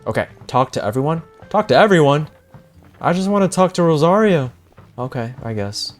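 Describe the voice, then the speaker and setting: A man giving casual, slangy, expressive live reaction commentary as a game streamer. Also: a little high, moderate pace. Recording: game music behind the voice.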